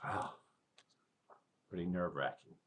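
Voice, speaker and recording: A man's voice: low voice, a little slow, a bit bright, slightly rough. An American man in his fifties, a school superintendent, speaking to children in a conversational, reassuring way during a read-aloud. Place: small indoor office.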